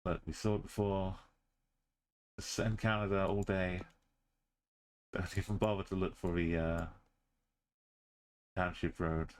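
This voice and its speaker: Low, slow-talking man muttering to himself. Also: level tone. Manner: muttering, terse thinking aloud. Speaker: streamer. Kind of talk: commentary while playing a game.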